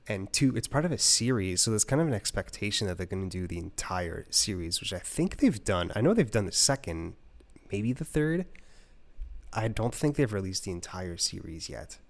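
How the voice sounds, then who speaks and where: A man's voice: fairly low pitch, very animated, normal speed. A man in a casual review chat, explaining things in an informal, conversational way as a co-host. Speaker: audiobook review show co-host.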